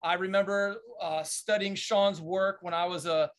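A middle-aged man hosting a podcast from a room at home over a webcam. His voice is fairly high for a man, and he sounds keen, tripping over and repeating the first words of his sentences. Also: steady tone, moderate pace, fairly bright tone. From Washington, D.C., USA. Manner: eager, stumbling, repeats words when starting sentences. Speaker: podcast host. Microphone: video call webcam.

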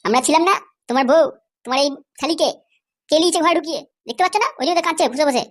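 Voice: sits high, racing, lightly acted. A performer voicing an insolent, foul-mouthed son who taunts and orders people around in a comedy cartoon. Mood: taunting. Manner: taunting, bossy.

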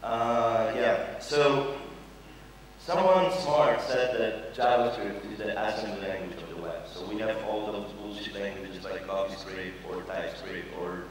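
A man's voice: lowish voice, fairly even intonation, measured pace, distant mic, a little hiss or hum. A young man gives a casual, joking conference talk, full of fillers and the odd swear word. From Poland. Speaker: conference speaker. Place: large conference hall with an audience. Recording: echoey hall recorded from afar.

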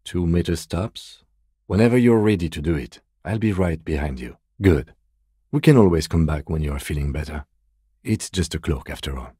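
Very deep, composed male detective. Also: animated, mellow, breathy edge, dry recording, clean studio sound. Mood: calm, matter-of-fact. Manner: measured, formal, understated. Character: composed, dry, methodical male police detective. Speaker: video game voice actor. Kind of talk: video game character dialogue.

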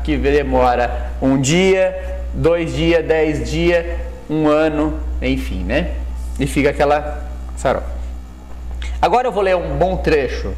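A man in his thirties with a Brazilian accent giving an informal religious lecture, explaining colloquially and often rephrasing with little tag questions. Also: on the high side. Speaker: lecturer at a Spiritist study group. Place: indoors, plain room with whiteboard backdrop. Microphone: clip-on lapel microphone.

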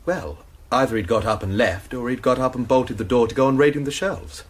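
A man's voice: mid-range voice, fairly quick, breathy edge, lightly acted. An actor playing an anxious young male student, telling his story in a rambling, worried way in a radio drama. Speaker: student suspect. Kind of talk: BBC radio drama acting.

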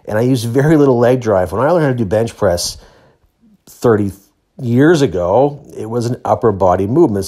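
A middle-aged man with a fairly low voice narrates a training vlog. He talks casually and plainly, explaining things step by step like an athlete sharing his routine. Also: warm tone.

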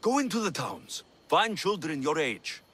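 A protective, plain-spoken mercenary hero from a video game. His voice is forceful and slightly high for a man, with lively swings in pitch. Faint ambient sound runs behind him. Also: a little slow, a little hiss or hum. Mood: firm, exasperated. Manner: firm, plain-spoken, emphatic. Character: adult male mercenary hero, blunt yet protective. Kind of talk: video game cutscene dialogue.

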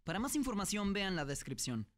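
A young man hosting an animated comedy video, giving mock-grand royal proclamations with deadpan asides, recorded on a studio microphone. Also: high voice, a little fast. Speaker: animation channel host and voice actor. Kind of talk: scripted comic narration for an animated video.

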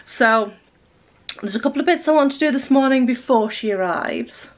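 A woman in her forties, a bit croaky, chatting casually to camera in her living room about her day and her knitting, with lots of ums. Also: high-pitched, animated, normal speed. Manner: chatty, rambling with many ums. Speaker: knitting vlogger. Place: living room at home, indoors. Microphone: camera close in front.

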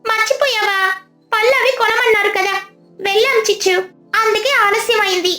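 Woman doing a high-voiced, earnest cartoon bird. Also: fairly even intonation, normal speed, sharp tone, reverberant, faint music behind. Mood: apologetic. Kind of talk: cartoon moral story character acting.

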